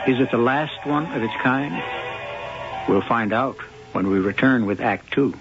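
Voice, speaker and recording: Ominous, wry storyteller a man acting, mid-pitched theatrical narration over music, muffled vintage radio. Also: lightly acted. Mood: wryly ominous. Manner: wry, ominous, deliberate diction. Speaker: host narrator of a radio mystery series. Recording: dull old radio broadcast recording.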